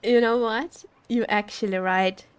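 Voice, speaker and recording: A woman's voice: a little high, fairly even intonation, unhurried, smooth, dry recording. A woman streaming as a VTuber, chatting casually about a game in a teasing, joking, giggly way. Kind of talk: casual livestream chat reacting to a game.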